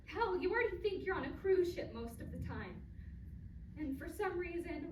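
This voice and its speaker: A woman's voice: sits high, lightly acted. A woman playing an emotional grown daughter, hurt yet caring, in a staged play reading. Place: live indoor staged reading, far from mic. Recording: distant, echoey room recording with loud noise.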